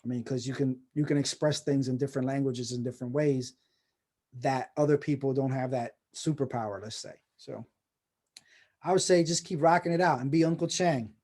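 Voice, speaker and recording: A man's voice: medium pitch, a little fast, warm tone. A man hosting a casual video call session, rambling and encouraging with many restarts. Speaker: host of a personal branding course.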